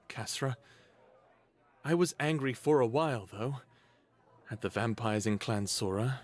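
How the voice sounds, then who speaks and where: A man playing a battle-scarred, lovesick human with a husky voice, wry and brooding, in an audio drama. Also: mid-range voice. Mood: wry, guarded. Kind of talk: first-person audio drama narration and dialogue.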